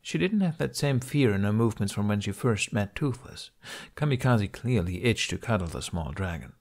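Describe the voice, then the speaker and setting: A man's voice: on the low side, lively intonation, normal speed, darkish tone. A man reading a scripted story aloud as an audiobook narrator.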